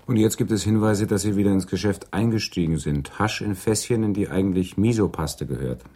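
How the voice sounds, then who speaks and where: An actor playing a wry, blunt police detective in a radio crime drama, dry and terse. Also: male voice, on the low side, fairly quick, mellow, natural voice. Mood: firm, official.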